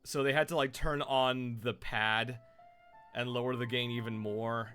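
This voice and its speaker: A man who is a voice actor and streamer, chatting casually and loudly on his live stream with lots of fillers. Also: mid-range voice, steady tone, unhurried, smooth, soft background music. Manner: loud, chatty, rambling with fillers.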